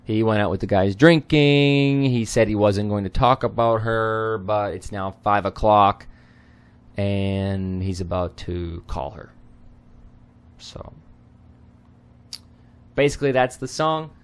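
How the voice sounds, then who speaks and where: A man's voice: on the low side, slow pace, long pauses, clean tone. A man whose first language is not Spanish, casually explaining song lyrics word by word with many fillers. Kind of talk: casual lyric translation lesson.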